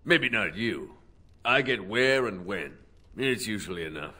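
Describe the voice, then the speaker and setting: A man's voice: fairly low pitch, lively intonation, unhurried, pushed and bright, husky, full character voice. A man playing a wry, cynical rogue in a video game, speaking in a dry, sardonic, terse manner.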